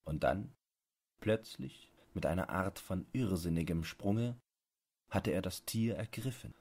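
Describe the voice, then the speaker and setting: Guy reading a classic story for bedtime, deep voice, takes his time, measured. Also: a little mellow, breathy edge. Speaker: audiobook narrator. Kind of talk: literary scripted narration, bedtime audiobook.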